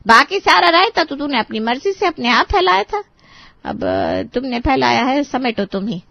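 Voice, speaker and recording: Brief: woman voicing a lecturing middle-aged mother, fry in the voice, muffled dry mic. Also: high-pitched, very animated, normal speed, no room sound, full character voice. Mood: scolding. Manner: theatrical, lecturing. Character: bride's middle-aged mother, dramatic and scolding. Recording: dry, slightly muffled studio voice.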